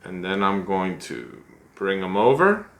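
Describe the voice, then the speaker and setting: A man's voice: low voice, wildly swooping pitch, a little slow, creaky. A middle-aged man in a small room, talking through a fly-tying tutorial step by step in a chatty, self-deprecating way and thinking aloud as he works. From United States. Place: small room at home, seated at a desk.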